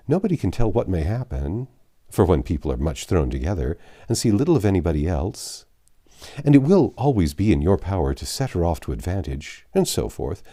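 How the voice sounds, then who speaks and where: A man reading the lines of a pompous, calculating gentleman who urges his sister, in a low voice.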